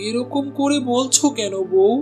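A man's voice: very high voice, a little slow, a little mellow, soft background music. A man voicing a meek, honest butcher. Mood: pleading. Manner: timid, pleading. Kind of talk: cartoon voice acting.

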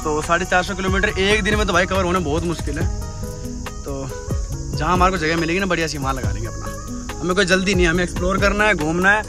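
A young man, chatty and excited, narrating a travel vlog while driving mountain roads. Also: sits high, quick pace. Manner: excited, chatty, calls viewers brother. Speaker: travel vlogger. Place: inside a car on mountain roads. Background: background music.

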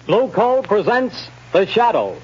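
High, husky vintage radio announcer man. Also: big pitch swings, unhurried, soft background music. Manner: emphatic, declamatory. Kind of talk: 1930s radio advertisement announcing. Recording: muffled vintage radio recording.

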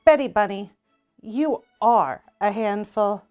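Woman as a patient mother rabbit, slow-paced. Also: medium pitch, a little mellow, lo-fi sound, soft background music, lightly acted. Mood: loving.

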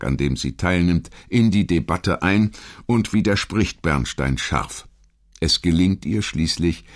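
A man reading scripted biographical narration as a documentary narrator, in a measured, articulate manner. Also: a bass voice.

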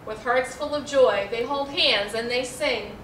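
High-pitched American woman reading a storybook. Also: a little slow, a bit bright. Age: middle-aged. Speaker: librarian reading a picture book aloud. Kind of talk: children's storybook read-aloud. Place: indoor room, seated before a backdrop. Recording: roomy, distant mic, faint noise.

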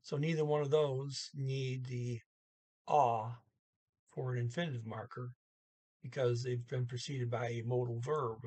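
A man in his sixties giving an informal language lesson, halting and deliberate, going word by word with pauses. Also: medium pitch, fairly even intonation, warm tone, husky. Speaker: language teacher. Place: small room indoors at home. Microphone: webcam or computer mic at arm's length.

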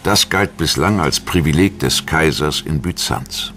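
A man reading scripted documentary narration in a measured, formal manner, as a studio voice-over. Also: extremely low pitch, a bit bright. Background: music.

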